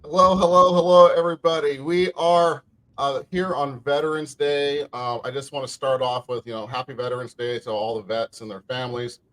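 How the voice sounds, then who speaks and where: Friendly podcast host, lots of fillers. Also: male voice, medium pitch, little pitch movement, fairly quick, a bit bright, low-quality recording. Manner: friendly, with many fillers and repeated words. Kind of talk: casual chat.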